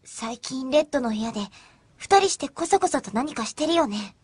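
High-voiced, quiet teenage girl. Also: animated, moderate pace, slightly rough, dry recording. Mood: suspicious. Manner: quiet, hesitant, short phrases. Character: vulnerable, quiet teenage girl, easily hurt. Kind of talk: Japanese anime radio drama acting.

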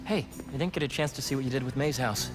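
A man's voice: mid-range voice, quick pace, lightly acted. A man playing an upbeat young American in a video game, a loyal old friend who speaks casually and naturally. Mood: easygoing. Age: young adult. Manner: casual, conversational. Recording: music behind dialogue.

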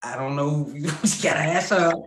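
A man in his thirties chatting as a podcast guest over a video call, rambling and laughing, often trailing off quietly. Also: fairly high pitch, normal speed, reverberant, a little hiss or hum. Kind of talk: casual interview chat over video call. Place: indoor home room with lamp. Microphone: video call, webcam.

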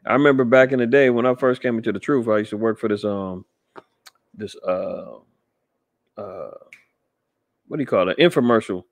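A man's voice: on the low side, no room sound. A middle-aged man giving casual, skeptical commentary on a news article, rambling with many fillers and restarts. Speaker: podcast host commenting on news.

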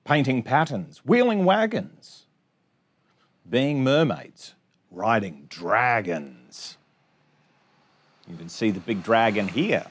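A man in his forties hosting storytime, reading a picture book aloud to children in an expressive sing-song way with chatty asides. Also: mid-range voice, slow, pauses often, breathy edge. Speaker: storytime host. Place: small indoor space among bookshelves.

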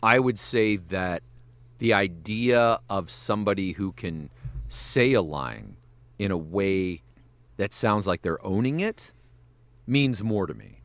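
A man talking through casual podcast advice with a lively, swooping pitch, swearing freely as he goes. He works in voice acting and animation and keeps breaking into quick voice demos. The sound is dry and close, with almost no room around him. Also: dry recording. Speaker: guest voice actor and animator.

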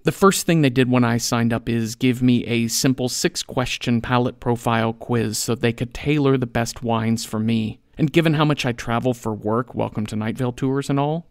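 A man's voice: medium pitch, steady tone, normal speed, hardly any pauses, clear, resonant tone, no room sound, clean studio sound. A man reading a podcast sponsor ad in a casual, wry, conversational way. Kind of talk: conversational scripted ad read.

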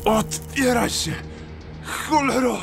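An actor playing a wounded, desperate hunted thief in a fantasy audio drama, speaking in strained, halting mutters and curses. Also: male voice, very high voice, creaky, heavily acted. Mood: defiant, angry. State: in pain, labored, injured. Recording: loud music behind the voice.

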